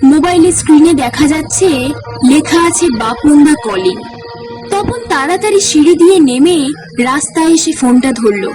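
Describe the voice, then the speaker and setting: A woman narrating a horror story in a hushed, suspenseful way. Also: sits high, expressive, normal speed, distant mic, over loud music.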